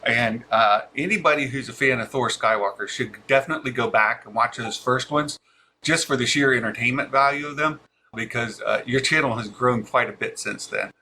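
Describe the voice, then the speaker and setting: A man's voice: medium pitch, normal speed, bright, forceful tone, slight background noise. A man interviewing a guest, talking with eager fan enthusiasm. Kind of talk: casual interview chat.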